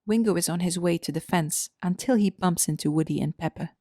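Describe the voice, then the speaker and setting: A woman's voice: fairly low pitch, a little fast, dark tone, no echo, studio quality. A woman narrating a scripted story as the narrator of an audio drama.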